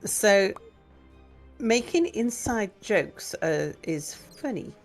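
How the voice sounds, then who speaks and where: Brief: woman co-player, unhurried deadpan explainer, soft music behind. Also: medium pitch. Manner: dry, mock-patient explaining. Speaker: co-player on a livestream. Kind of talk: casual gaming chat.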